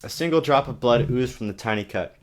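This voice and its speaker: A man's voice: mid-range voice, fairly quick. A man giving a parody dramatic reading of a novel with sarcastic, exaggerated asides, recorded on a webcam. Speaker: comic reader of a novel. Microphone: webcam microphone. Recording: choppy cheap webcam recording.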